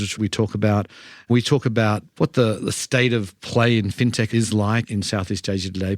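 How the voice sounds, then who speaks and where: A man's voice: on the low side, no room sound, clean studio sound. A man hosting a podcast, reading an upbeat introduction and then asking interview questions. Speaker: podcast host.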